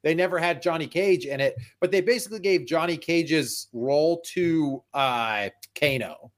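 A man with a voice a little higher than most talks casually as part of a podcast panel. He works his thoughts out aloud, a bit hesitantly, in a relaxed group conversation. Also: moderate pace. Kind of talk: casual group chat about pop culture.

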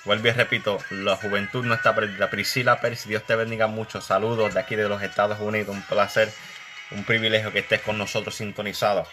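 A man's voice: lowish voice, little pitch movement, quick pace, music in the background. A man hosting a church live stream, blessing viewers by name and introducing a young preacher. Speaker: host of an online live interview.

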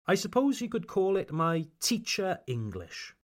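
A Welsh man who hosts an English-learning podcast. He speaks as a teacher, slowly and with careful articulation. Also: high voice, sing-song. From Wales.

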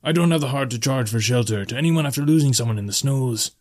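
A jovial, stout tavern keeper from a fantasy audiobook, acted by a male narrator. His voice is pitched up and hearty, and he speaks in exclamations like a welcoming host. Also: quick pace, darkish tone, close to an everyday voice. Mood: sympathetic. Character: hearty, tall, stout bartender of a northern town, friendly. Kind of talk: fantasy audiobook character dialogue.

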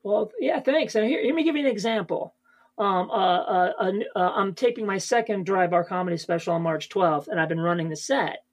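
High-voiced man rambling about comedy. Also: fairly quick. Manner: rambling, many fillers and restarts. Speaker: stand-up comedian guest. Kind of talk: casual podcast interview.

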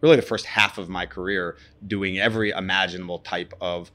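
A man's voice: low-pitched, fairly even intonation, fairly quick, sharp tone. A man speaking as an interview guest, thoughtful and often restarting his sentences as he recalls his career. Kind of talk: casual interview.

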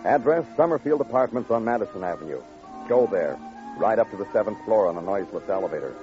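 A man plays a world-weary police detective in vintage radio drama. His voice is low and his delivery dry and clipped. The old recording sounds dull and lo-fi. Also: animated, quick pace, music in the background, natural voice. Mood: wry, somber. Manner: clipped, dry, literary narration. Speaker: narrator and lead actor.

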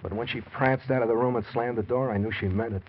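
A man's voice: mid-range voice, steady tone, fast talker, music in the background, close to an everyday voice. A man playing a desperate, scheming husband who tells of his crime in slangy, hard-boiled 1940s radio drama style. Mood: brooding. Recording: muffled, noisy 1940s radio recording.

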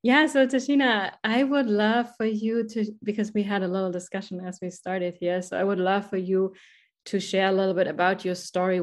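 A woman from Germany with a German accent, hosting a conversational interview as a podcast host. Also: mid-range voice.

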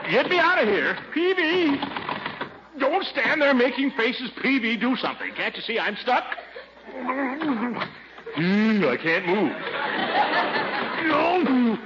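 Pompous, blustering uncle on noisy old radio. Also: male voice, very high voice, sing-song, slow pace, long pauses, lo-fi sound, full character voice. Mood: panicked, flustered. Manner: pompous, exasperated, theatrical. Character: pompous, flustered, blustering middle-aged uncle and guardian. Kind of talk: 1940s radio comedy acting.

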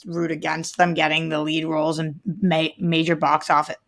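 A woman's voice: deep voice, completely flat delivery, normal speed, a bit bright. A woman co-hosting a casual movie discussion, giving her opinions in an enthusiastic, conversational way. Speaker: co-host of a movie discussion show.

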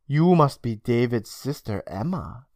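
An adult reader voicing a polite, sinister vampire woman with a European accent and a measured, knowing manner for a story. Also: mid-range voice, lively intonation, darkish tone, dry recording, studio quality. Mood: courteous.

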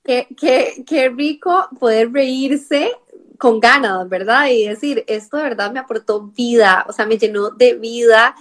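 A woman's voice: on the high side, measured pace, a bit bright. A woman with a Costa Rican accent hosting a casual interview, chatty and full of fillers and tag questions. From Costa Rica. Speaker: podcast host.

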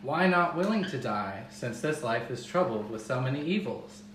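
A woman voicing a stern, calm male guide who gives grave instructions in old-fashioned speech. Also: very deep voice, normal speed, pitched below the performer's own voice. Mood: solemn. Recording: roomy with faint hiss.

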